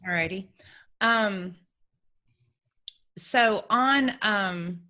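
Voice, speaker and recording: A woman's voice: medium pitch, extremely slow, sharp tone. A woman hosting a conversational business interview, asking questions of her guests. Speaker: host.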